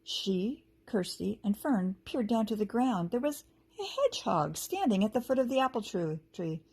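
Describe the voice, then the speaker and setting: Animated, slightly husky woman reading a story. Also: mid-range voice, normal speed. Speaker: teacher reading aloud. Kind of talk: expressive children's story narration.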